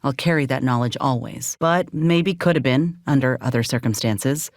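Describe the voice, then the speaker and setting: An actress playing a gruff, loyal sci-fi soldier and medic in a video game, speaking in a folksy, plainspoken, measured way. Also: female voice, very deep voice, dry recording, clean studio sound. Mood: reflective. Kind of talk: video game companion dialogue.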